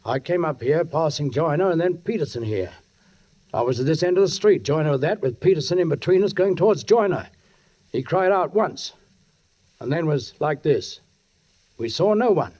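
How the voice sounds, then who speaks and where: High-pitched brooding police sergeant, old radio. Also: male voice, animated, normal speed, mellow. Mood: stern, suspicious. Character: London police sergeant, brooding, watchful narrator. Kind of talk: 1940s radio acting, first-person narration. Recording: dull 1940s radio recording, music behind.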